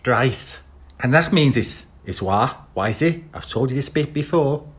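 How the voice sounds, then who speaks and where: A man's voice: mid-range voice, lively intonation, measured pace, pitched above the performer's own voice, close to an everyday voice. A man voicing a streetwise male talking cat with a wheedling, persuasive patter in an audiobook. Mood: scheming.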